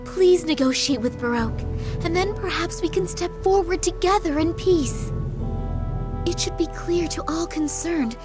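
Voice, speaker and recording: An actress playing a composed woman ruler who speaks calmly, gravely and persuasively. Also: very high voice, moderate pace, over music. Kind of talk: dubbed video game cutscene.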